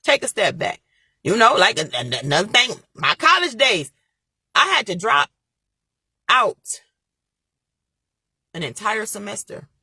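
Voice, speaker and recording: A woman's voice: medium pitch, big pitch swings, a little slow, pauses often, sharp tone, breathy edge, dry recording, clean studio sound. A young woman with giving an impassioned motivational talk, repeating her points and speaking straight to her viewers. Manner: preachy, repeats phrases, talks straight to viewers. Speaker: motivational vlogger.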